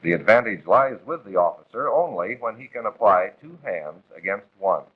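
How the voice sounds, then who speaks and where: Through a thin, band-limited vintage film soundtrack comes an American man with a lowish, rough-edged voice. He reads scripted 1940s training narration, formal and authoritative, instructing step by step. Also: expressive, normal speed, phone-quality sound.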